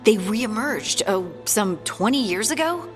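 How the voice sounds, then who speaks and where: A woman's voice: medium pitch, lively intonation, measured pace, natural voice. Thoughtful dwarf woman in a reverberant hall by an actress. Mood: earnest, explaining. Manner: explanatory, thoughtful. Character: thoughtful, earnest female dwarf scholar, curious and good-humoured. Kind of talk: video game character acting. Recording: long hall reverb, faint music.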